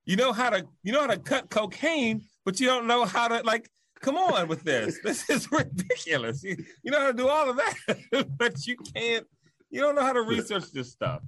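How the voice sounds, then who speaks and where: High, lively man ranting with laughter. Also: normal speed, no echo. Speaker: sports talk show co-host. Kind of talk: casual podcast banter.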